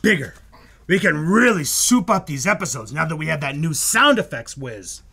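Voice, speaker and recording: A man acting an excitable, theatrical 1940s radio producer in a hammy, emphatic comedy style. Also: fairly high pitch, moderate pace, vocal fry, breathy edge, full character voice. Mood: confiding, jovial. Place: live stage performance in a bookstore.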